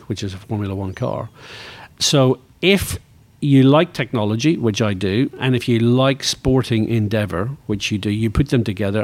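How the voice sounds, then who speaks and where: A man's voice: lowish voice, a little mellow, no room sound. A sixty-year-old man with a Northern Irish accent, talking reflectively and enthusiastically as a guest in a conversational interview. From Northern Ireland. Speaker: interview guest, motor racing executive.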